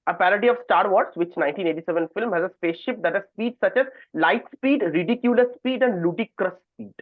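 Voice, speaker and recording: Male quizmaster, fairly high voice, reading questions, thin phone-like sound, dry. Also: quick pace, dry recording. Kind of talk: reading quiz questions aloud to contestants. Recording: narrow phone-like call audio.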